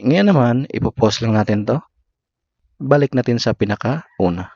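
A man with a Filipino accent narrates a how-to in short, segmented instructions. His voice sits at an average pitch. The recording is dry and a little dull and lo-fi. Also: animated, moderate pace, a little mellow, breathy edge, no room sound. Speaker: tutorial host. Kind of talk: step-by-step app tutorial narration.

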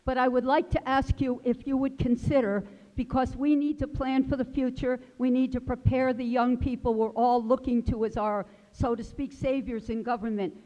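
High-voiced older woman at the audience mic. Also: level tone, dark tone. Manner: deliberate, pleading, slow emphasis. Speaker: audience member. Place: large wood-paneled hall, indoors. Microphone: handheld mic. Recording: dull sound in an echoey hall.

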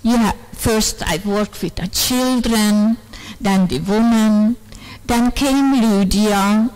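Older lady from serbia talking real slow at a conference mic, earnest storytelling, non-native english. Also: mid-range voice, darkish tone, low-quality recording. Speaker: conference speaker. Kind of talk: speech to a women's conference. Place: large indoor conference hall, on stage. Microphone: microphone on a stand at a lectern.

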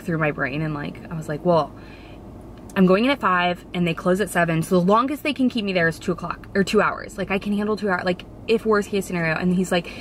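A woman's voice: fairly low pitch, a bit bright. A woman in her thirties chatting to camera from a parked car, talking through her nerves and quoting the people around her in a self-deprecating way. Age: 30s. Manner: chatty, self-deprecating, quotes herself and others. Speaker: vlogger. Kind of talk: casual chat to camera. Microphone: camera on the dashboard. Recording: car interior with background noise.

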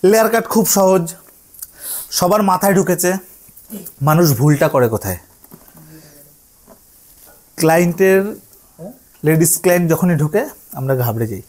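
A man's voice: high voice, animated, normal speed, pauses often, a little mellow. A man giving a hands-on lesson as a hairdressing instructor, repeating his phrases and often checking that learners follow. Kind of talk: hands-on tutorial to trainees.

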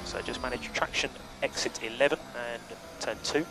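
A man's voice: mid-range voice, expressive, moderate pace, vocal fry. Clipped male race engineer over very noisy radio. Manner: clipped, procedural, relaying numbers. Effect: team radio. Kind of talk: terse radio briefing. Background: vehicle noise and hum.

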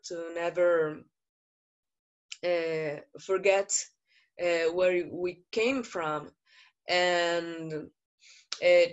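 Slow, earnest woman activist. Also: lowish voice, dull audio. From Brazil. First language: Portuguese. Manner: earnest, measured, with frequent pauses and fillers. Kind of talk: panel talk. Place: indoor room. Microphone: video call.

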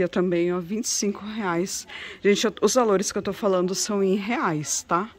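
A woman's voice: on the low side, darkish tone. Chatty Brazilian woman vlogging. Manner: chatty, admiring, addresses viewers with frequent tag questions. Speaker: vlogger showing shop goods to viewers. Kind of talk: casual shopping vlog chat. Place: inside a cluttered home textiles shop.

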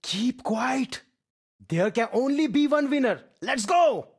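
An actor playing a game soldier who calls out short, urgent squad commands in a husky voice. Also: high-pitched, lively intonation, takes it slowly, exaggerated voice. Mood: urgent, commanding. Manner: urgent, commanding, clipped phrases. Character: male battle-royale soldier teammate calling out squad commands. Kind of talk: short shouted game voice-pack callouts.

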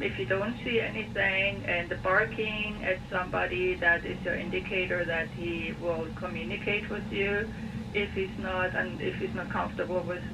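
Distant and noisy, like radio played in a room, a woman gives informal advice as a phone-in expert. She speaks evenly, in a measured and explanatory way. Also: on the low side. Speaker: dog expert guest on a phone-in.

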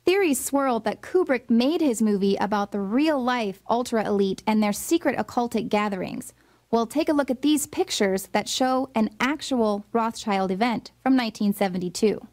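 Woman doing dramatic scripted voice-over, kinda high voice, insinuating tone. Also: moderate pace, a little mellow. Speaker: narrator. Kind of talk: scripted narration.

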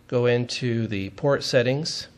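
A man's voice: medium pitch, unhurried. A man giving a step-by-step technical demonstration in a methodical, plain-spoken way, as an instructor would.

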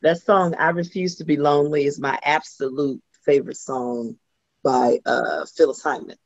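A middle-aged woman from Los Angeles with a husky voice, talking as a guest singer on a video call. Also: low voice, fairly even intonation, normal speed, dry recording. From Los Angeles, USA. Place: home room indoors. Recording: dull lo-fi video call audio.

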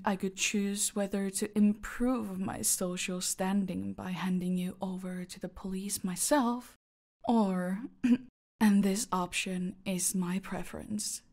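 A woman playing a smug, menacing female cartel boss in an audio roleplay, speaking deliberately and haughtily, often dropping into breathy near-whispers. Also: medium pitch, a little mellow. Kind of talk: dramatic audio roleplay monologue addressed to a captive.

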